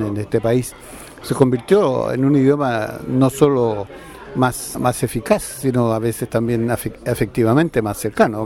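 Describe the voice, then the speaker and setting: Mellow-voiced male interviewer. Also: mid-range voice. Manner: measured, builds long framing questions. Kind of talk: long interview questions.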